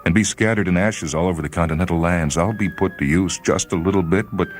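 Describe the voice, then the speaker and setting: A man acting a brooding, very deep-voiced doomed spaceman. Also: quick pace, soft background music, lightly acted. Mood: anguished regret. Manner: brooding, questioning himself. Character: Hollis, despairing lone spaceman falling to his death. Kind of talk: dramatic short story reading.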